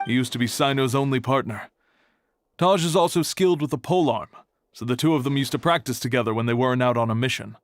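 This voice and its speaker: An actor playing a reserved, serious archive keeper in a video game, speaking in a measured, guarded way. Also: male voice, mid-range voice, no echo, studio quality, close to an everyday voice. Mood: matter-of-fact.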